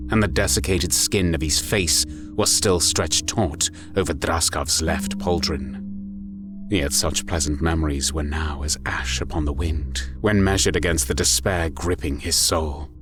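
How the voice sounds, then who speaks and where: A man giving a grave, measured, dramatic narration of a scripted story. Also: extremely low pitch, fairly even intonation. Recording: music under the voice. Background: music.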